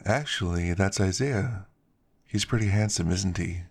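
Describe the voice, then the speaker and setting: Man playing an easygoing, low-voiced male werewolf. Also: a little slow, husky, exaggerated voice. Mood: pleased. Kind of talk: character dialogue in an ASMR story.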